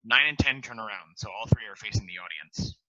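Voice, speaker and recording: A young man reading stage directions in a plain, steady way over a headset on a video call. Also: lowish voice, a little fast, no room sound, dull audio. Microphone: gaming headset on video call.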